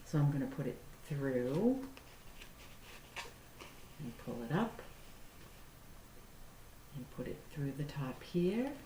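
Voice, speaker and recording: Slow, low-voiced woman in an echoey room. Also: warm tone. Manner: simple, deliberate phrasing for young children. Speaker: children's storytime host and craft teacher. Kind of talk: picture-book read-aloud and craft demonstration for young children. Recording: roomy echo with faint background noise.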